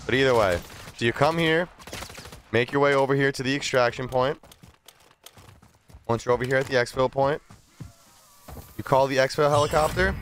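A young man streaming from his desk, close on the mic, with a middling pitch. He explains the game in casual slang and repeats his points, while clear game noise and gunfire run behind him. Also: a bit bright. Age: young adult. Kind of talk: casual gameplay commentary and tutorial. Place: small streaming room at a desk. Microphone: close desk microphone. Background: video game gunfire and ambience.

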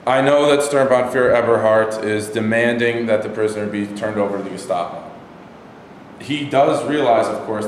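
A man's voice: lowish voice, natural voice. Man playing a formal officer, very echoey room. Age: young adult. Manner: formal, restrained, persuasive. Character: measured, coaxing male Nazi officer interrogator. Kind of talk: staged play reading. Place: indoor rehearsal room, camera mic. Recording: very echoey room, faint background noise.

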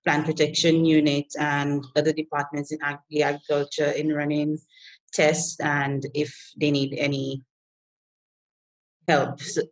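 A woman's voice: sits low, rough recording. A woman introducing herself on a video call, plainly explaining her lab work. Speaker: lab analyst, participant.

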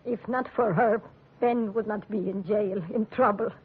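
Casting: distrustful immigrant mother, broken English, husky plaintive delivery, thin muffled radio-drama recording. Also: mid-range voice, fairly even intonation, a little slow. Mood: bitter, plaintive. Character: weary, distrustful immigrant mother of two grown sons. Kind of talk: 1940s radio acting. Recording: muffled narrow-band old radio recording.

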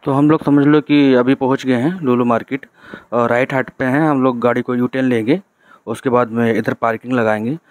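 A man's voice: mid-range voice, fairly even intonation, a little fast, darkish tone. A man from narrates a casual road-trip vlog from a moving vehicle. He talks in a chatty way and keeps adding "theek hai" as a check-in tag. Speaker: travel vlogger.

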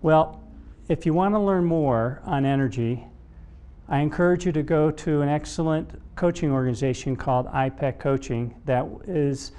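A middle-aged man giving a motivational keynote talk from a stage, speaking in deliberate, emphatic phrases with pauses. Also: fairly high pitch, dark tone, smooth. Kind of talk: motivational public talk, storytelling. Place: stage in a conference room before a seated audience. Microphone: lapel mic.

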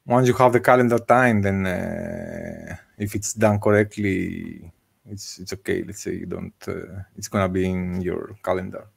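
A man's voice: sits low, fairly even intonation, measured pace, vocal fry, slightly rough. A man in his thirties speaking on a video call from a home room, hesitant and full of filler uhs. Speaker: meetup organizer. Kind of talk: casual video call discussion. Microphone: desk microphone on video call.

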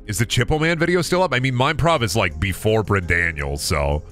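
Low, bright-edged male streamer, sick voice near cracking, rambling, self-deprecating, headset at home. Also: fairly quick, faint music behind. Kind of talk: casual gameplay stream chat. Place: home room at a desk.

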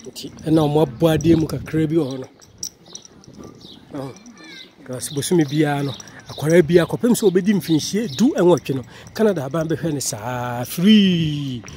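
A Ghanaian man with a fairly high voice gives a casual, chatty walking vlog. He jokes along as he addresses his viewers. The phone recording is noisy, with outdoor sound around him. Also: moderate pace, dark tone. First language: Twi. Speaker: vlogger, channel host. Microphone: handheld phone.

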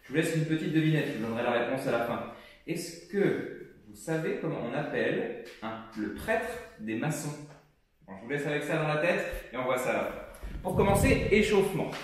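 A young man leading a home workout class, counting reps aloud and giving steady encouraging cues as he demonstrates. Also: fairly high pitch, fairly quick, a little hiss or hum. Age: young adult. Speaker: fitness coach. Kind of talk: guided workout instruction. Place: gym studio, indoors. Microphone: far camera. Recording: very echoey room, voice from far off.

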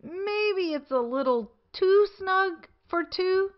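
A woman's voice: very high-pitched, lively intonation, slow pace, highs cut off, pitched above the performer's own voice, heavily acted. A woman voicing kindly but hesitant storybook forest animals, earnest and slightly protesting.

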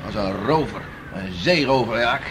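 An actor playing a hardy, boastful beachcomber husband in a 1960s radio play, bluff and emphatic. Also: male voice, lowish voice, expressive, moderate pace, lightly acted. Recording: noisy old radio play recording.

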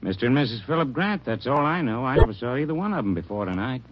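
An American man playing a chatty, defensive hotel manager in an old radio drama, folksy and a bit hesitant, with a husky voice. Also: medium pitch, animated, fast, background noise, natural voice. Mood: uneasy, defensive. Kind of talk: mid-century radio drama acting. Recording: muffled old radio recording.

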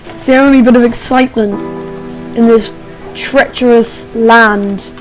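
A young woman chatters through her game in an excitable, playful way, breaking into squeals and sing-song noises. Her voice sits a little high and she talks unhurriedly. Faint music plays behind her. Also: a little slow, poor audio. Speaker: gamer. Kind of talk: casual let's play commentary.

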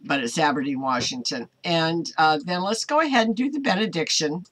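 An older American woman giving an informal devotional talk to camera in a halting, earnest manner, recorded in a small home office. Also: low-pitched, expressive, a bit bright. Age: older, 60s or 70s. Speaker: online ministry host.

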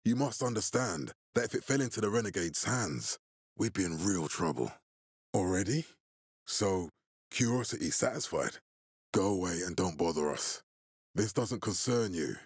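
An actor playing a menacing middle-aged military leader in a video game, authoritative, curt and measured. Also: male voice, deep voice, animated, breathy edge, no echo, clean studio sound. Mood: stern, controlled. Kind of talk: dramatic video game voice acting.

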